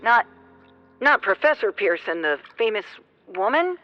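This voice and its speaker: A woman's voice: mid-range voice, very animated, unhurried, no room sound. Actress as a breezy suburban woman on ham radio. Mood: curious. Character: breezy, self-satisfied suburban former radio host. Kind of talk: comedic radio drama acting. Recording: dull, noisy ham radio sound.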